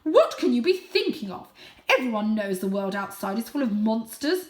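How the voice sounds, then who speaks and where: A woman's voice: fairly high pitch, very animated, moderate pace, roomy sound. A woman voicing a fussy, easily scandalised mother cat in a storybook, with a tutting, scolding manner. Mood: scandalised, alarmed.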